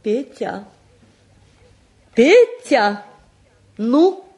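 A woman's voice: a little high, very animated, very slow, a little mellow, full character voice. An actress playing an anxious, reproachful middle-aged mother in a 1950s Soviet radio play, with a fretful, theatrical delivery. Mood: uneasy.

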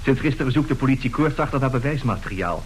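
A man's voice: medium pitch, expressive, quick pace, a little hiss or hum, close to an everyday voice. An actor playing a glib, brazen swindler and seducer of women in a radio play, theatrical in his bargaining and pleading. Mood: cool, brazen. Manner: theatrical, swinging from cool bargaining to florid pleading. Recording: roomy radio drama recording.

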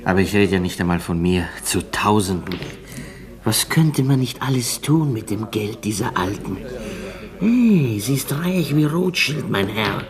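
A man's voice: fairly low pitch, expressive. An actor playing a bitter, cynical drunk man, sneering and goading in a German radio drama. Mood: bitter scorn. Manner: sneering, provocative, drawn-out phrasing. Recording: busy crowd noise behind voice. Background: crowd chatter.

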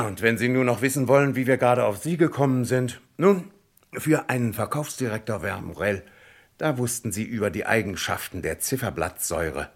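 A man acting a shrewd, methodical police commissioner in a 1960s German radio crime play, questioning in a probing, ironic way. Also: fairly low pitch. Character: shrewd, methodical male French police commissioner, calmly cornering a suspect.

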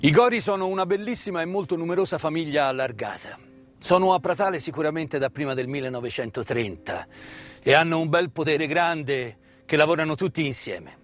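A male stage storyteller with a fairly high voice performs a scripted narrative, with faint music behind him. He speaks in a measured theatrical manner, setting phrases apart with deliberate pauses. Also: lively intonation. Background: live instrumental music.

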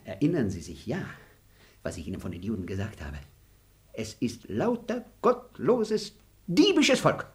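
A man's voice: lowish voice, huge pitch swings, unhurried. An actor voicing a sly, fawning and bigoted male estate bailiff in a radio play, talking in a wheedling, theatrical, giggly way. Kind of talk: German radio play acting.

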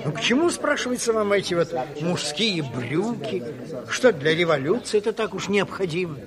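An actor playing an anxious, pedantic party lecturer, fretful and fussy, in a theatrical Soviet radio drama. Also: male voice, high-pitched, big pitch swings, moderate pace, a crowd in the background. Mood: disapproving. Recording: reverberant studio of 1970s radio play.

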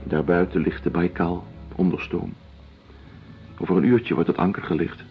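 Radio drama: a low-voiced, world-weary doctor, deliberate and detached, on a muffled lo-fi recording. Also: male voice, steady tone, faint music behind. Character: weary, detached doctor-writer documenting a penal colony. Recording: dull lo-fi radio play recording.

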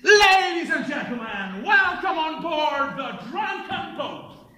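A man's voice: extremely high pitch, expressive, takes it slowly, bright, forceful tone, roomy sound, full character voice. A man playing a dreamy, ceremonious poetic figure, theatrically reciting and announcing. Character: dreamy poet's soul and ceremonious ship announcer.

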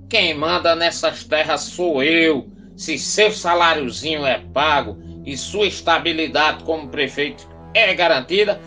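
An actor playing a furious, pompous, all-powerful landowning major in a radio play. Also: male voice, a little high, sharp tone. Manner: pompous, domineering.

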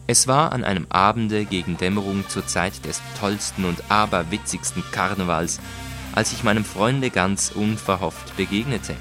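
Low-pitched male story reader. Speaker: audiobook reader. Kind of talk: literary first-person story narration.

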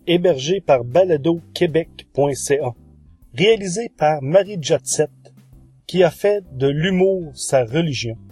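A man's voice: on the high side, dark tone, breathy edge. A man, hosting a podcast and presenting reviews of audio shows in a monologue. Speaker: podcast host.

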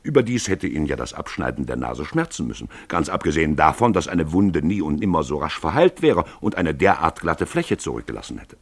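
Very deep, husky male narrator. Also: big pitch swings, brisk, hardly any pauses, no echo. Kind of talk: scripted literary narration for a radio play.

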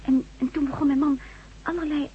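An actress playing a caring, anxious wife in a radio drama, speaking soothingly and with concern. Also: female voice, high-pitched, steady tone, brisk, close to an everyday voice. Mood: hesitant, anxious. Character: worried, caring wife of an amnesiac former astronaut. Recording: dull, lo-fi radio play recording.

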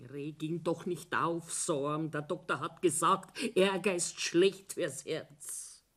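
A fretful housewife and mother in a radio drama. She speaks slowly, always trying to soothe and appease her family. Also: low-pitched, steady tone. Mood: anxious, pleading. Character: anxious, soothing wife and mother of a quarrelling family. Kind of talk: German radio play acting.

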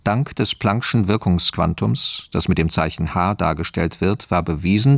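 A deep male voice with a gravelly creak, reading out an official file in a radio play. The delivery is formal and factual. The sound is close and dry, with no room on it. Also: no echo. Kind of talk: scripted documentary-like narration in radio drama.

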